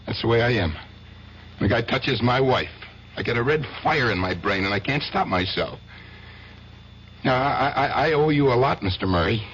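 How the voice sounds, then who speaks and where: A man's voice: mid-range voice, expressive, normal speed, fairly bright tone, natural voice. A man playing a menacing husband who confesses murder in a matter-of-fact way, in a radio drama. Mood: calmly menacing. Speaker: acquitted client. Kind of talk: 1970s radio drama acting. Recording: dull lo-fi radio broadcast with faint hiss.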